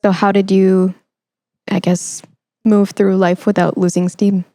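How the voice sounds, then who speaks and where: A woman's voice: medium pitch, flat delivery, measured pace, dark tone, no echo. A young woman hosting a conversational interview in an encouraging, supportive way. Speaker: podcast host.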